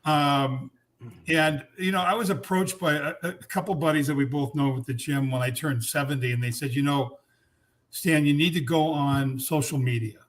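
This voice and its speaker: A man's voice: on the high side, fairly even intonation, normal speed. A man in his seventies, a podcast guest who tells his life story in an easy, reflective way with many fillers. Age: 70s. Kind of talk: casual chat.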